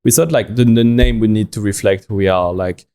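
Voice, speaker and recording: A young man with a French accent talks in a podcast interview, stumbling and restarting as he works out his thoughts. His voice sits a bit low with a mellow, soft tone. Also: fairly even intonation, clean tone. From France. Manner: halting, many restarts and fillers. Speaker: watch company founder.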